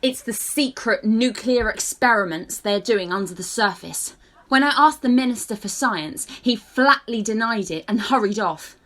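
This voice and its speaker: A woman's voice: on the high side, animated, moderate pace, a bit bright. Woman playing an eccentric, spacey hippie conspiracy theorist. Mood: conspiratorial. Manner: dreamy, rambling, earnest. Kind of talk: amateur radio play acting.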